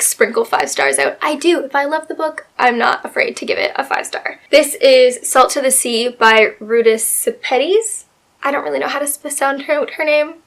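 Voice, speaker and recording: Slightly raspy woman gushing about books. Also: high-pitched, fairly bright tone. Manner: chatty and gushing, many fillers. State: getting over a cold. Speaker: book video host. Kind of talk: casual book review chat.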